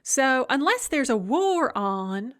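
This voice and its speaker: A cloying college administrator croons every sentence in a bright, high sing-song, as a woman narrator puts her on. Her sweetness is plainly fake and her tone talks down to everyone. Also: big pitch swings, slow, clean tone, heavily acted. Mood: sugary. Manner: sing-song, sugary, condescending.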